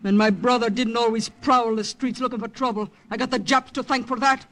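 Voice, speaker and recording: An actress playing a bitter, guarded waitress from a waterfront dive in a 1950s radio drama. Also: female voice, fairly high pitch, fairly even intonation, fairly quick, fairly bright tone.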